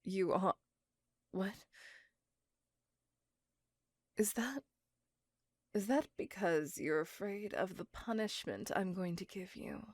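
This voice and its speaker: A woman voicing an intense, possessive, centuries-old female vampire in a dramatic, breathy ASMR roleplay, often dropping into a whisper. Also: medium pitch, lively intonation, measured pace, no echo, clean studio sound. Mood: furious, accusing.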